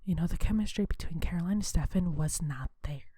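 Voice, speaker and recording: A woman hosting an ASMR video in a soft, breathy whisper, talking in a hushed, unhurried, conversational way. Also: fairly low pitch, no room sound, studio quality.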